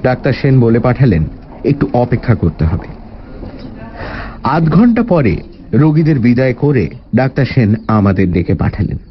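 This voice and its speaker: A man's voice: mid-range voice, very animated, moderate pace, long pauses, muffled recording, a little hiss or hum. A man narrating a detective story in the first person as a dramatized storybook reading.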